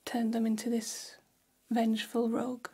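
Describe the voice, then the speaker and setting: A woman's voice: a little high, monotone, moderate pace, warm tone. A woman whispering as an elegant, gossipy female vampire hairstylist in an ASMR role-play, confiding and soothing, close to the microphone. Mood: hushed, confiding. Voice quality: breathy, whispered.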